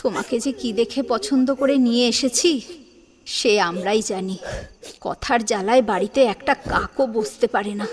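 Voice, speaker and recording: Casting: weary middle-aged matriarch, acted by a woman, high-pitched, restrained and curt, for an audio serial. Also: a little slow, close to an everyday voice. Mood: bitter, muttering. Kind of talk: audio drama acting.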